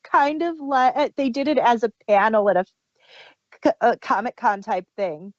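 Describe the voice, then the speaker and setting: A woman's voice: a little high, moderate pace, no echo, dull audio. A woman hosting a casual audio drama review, explaining things in detail like an enthusiastic fan. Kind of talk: casual group discussion.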